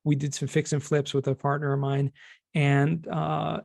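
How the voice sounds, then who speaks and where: Creaky-voiced middle-aged American man, fairly high. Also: flat delivery, moderate pace, a little mellow. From California, United States. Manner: explanatory, talks in plain words. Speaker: podcast guest, cost segregation expert and former teacher. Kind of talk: conversational interview.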